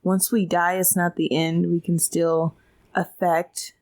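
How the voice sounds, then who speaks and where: A woman's voice: fairly low pitch, level tone, slow pace, darkish tone. A woman co-hosting a podcast, chatting casually and conversationally. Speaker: podcast co-host. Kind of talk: casual chat.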